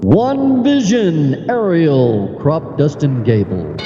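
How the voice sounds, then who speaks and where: A man with a Southern accent co-hosting a live stream, laid-back and quick to chime in with short agreement. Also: on the high side, very animated, muffled recording, noisy background. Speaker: co-host. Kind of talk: casual live-stream chat.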